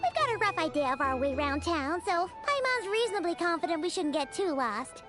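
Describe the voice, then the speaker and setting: A woman's voice: very high voice, very animated, fairly quick. A woman voicing a tiny childlike fairy companion in a video game, cheerful and chatty with exclamatory delivery. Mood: cheerful, eager. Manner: speaks of herself in third person, exclamatory, chirpy. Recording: background music under the voice.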